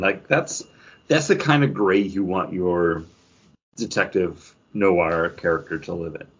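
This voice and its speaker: A man with a lowish, husky voice talks through a book in a casual, informal way, like a podcast co-host. The recording is somewhat dull and lo-fi. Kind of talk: casual book discussion chat.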